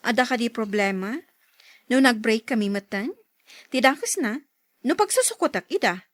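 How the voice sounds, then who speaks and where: A woman acting a young daughter in love, firm in her principles, in an Ilocano radio drama with earnest, expressive delivery. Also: fairly high pitch, normal speed, no echo, clean studio sound. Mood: indignant.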